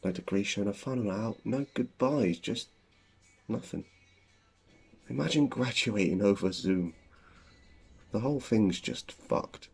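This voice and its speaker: Casting: man playing disillusioned British graduate, twenties, very low husky voice, anxious, short-film diary. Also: expressive, unhurried, long pauses, a little mellow, faint music behind, exaggerated voice. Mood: resigned, bitter. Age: 20s.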